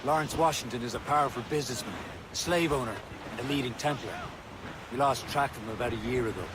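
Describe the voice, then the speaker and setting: An actor playing a steady, serious assassin and ship's mate in a video game, measured and matter-of-fact. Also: male voice, medium pitch, background noise, close to an everyday voice. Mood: grave, informative. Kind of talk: video game cutscene acting.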